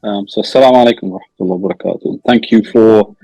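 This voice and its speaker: A middle-aged man from England, answering interview questions in a measured way, full of "um" and "kind of", over a video call. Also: expressive, vocal fry, no room sound, faint music behind, low-quality recording. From Newcastle, northeast England. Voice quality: quiet, low-volume voice. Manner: measured, many fillers like "um" and "kind of". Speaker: interview guest, product manager.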